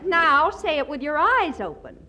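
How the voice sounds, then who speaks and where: A woman's voice: high voice, sing-song, moderate pace. An actress playing a brash, man-crazy young woman in 1940s radio comedy, melodramatic and gushing. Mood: swooning. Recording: hissy 1940s radio recording.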